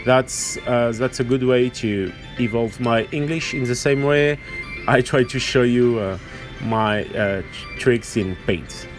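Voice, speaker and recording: A man with a French accent and French as his first language gives an informal hands-on painting tutorial, hesitant and halting as he searches for words. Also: mid-range voice, over music. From France or French-speaking region. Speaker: miniature painting tutorial host.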